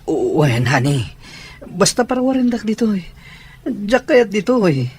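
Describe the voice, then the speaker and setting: A man's voice: high voice, big pitch swings, unhurried, darkish tone, husky, exaggerated voice. A man playing a desperate, submissive husband with a Filipino accent, begging in an Ilocano radio drama. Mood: pleading.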